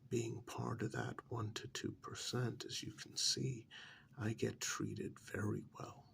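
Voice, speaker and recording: A man playing a smug, pampered captive in a soft-spoken ASMR role-play, drawling sardonically with long pauses and feigned innocence. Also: on the low side, big pitch swings, a little hiss or hum, exaggerated voice. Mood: sardonic, smug. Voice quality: soft, half-whispered, gravelly.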